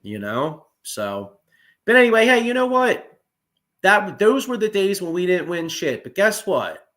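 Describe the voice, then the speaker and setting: A man, hosting a casual sports chat, excitable and profane with lots of restarts. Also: high-pitched, a little slow. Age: 40s. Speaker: podcast host.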